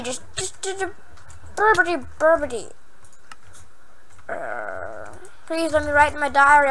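A young woman playing a horror game, hoarse from losing her voice, jumpy and prone to yelps and squeals when startled, then chatty again. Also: sits high, pushed and bright, slight background noise. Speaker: gaming channel host. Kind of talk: Minecraft horror map let's play.

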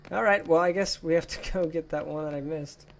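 A man's voice: fairly high pitch, steady tone, a little fast, creaky, slight background noise. A young man giving casual let's play commentary, chatty and goofy with playful exclamations. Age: young adult. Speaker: gaming YouTuber. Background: game music.

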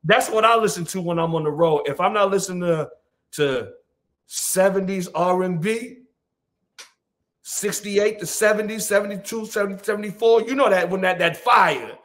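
A man's voice: sits high, moderate pace, fairly bright tone. A man in his forties with an American accent, a rapper guest chatting casually in slang and repeating phrases as he tells stories. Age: 40s. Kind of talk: casual interview chat. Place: dim large room indoors, on a video call.

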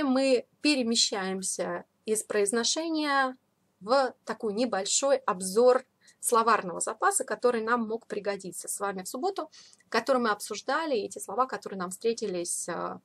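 Female English teacher, higher-pitched voice, informal and explanatory, repeats key words slowly. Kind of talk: explanatory vocabulary lesson. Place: voice-over of a screen recording.

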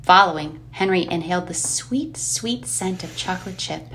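A woman's voice: on the low side, moderate pace, a bit bright, slight background noise, low-quality recording. A woman reading dramatic scripted narration for an eerie audio play. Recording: music under the voice.

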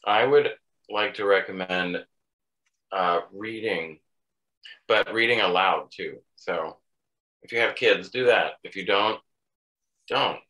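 A man's voice: sits low, takes it slowly, long pauses, pushed and bright, low-quality recording. A man on a video call, rambling in an offhand, dryly funny way. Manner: rambling, offhand, dry humor. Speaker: band member. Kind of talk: casual chat.

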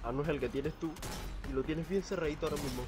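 A young man gaming with friends, shouting orders, swearing and laughing at the chaos. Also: a little high, fast, music in the background. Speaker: gamer in a co-op group. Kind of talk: loud casual gaming banter.

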